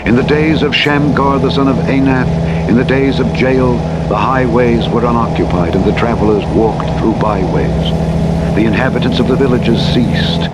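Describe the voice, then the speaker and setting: A man narrating the Bible as an audiobook in archaic English, with a solemn, measured, formal delivery. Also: medium pitch, steady tone. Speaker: scripture narrator. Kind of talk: scripted Bible audiobook reading, King James English. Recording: vehicle engine noise throughout. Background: truck driving noise from a simulator game.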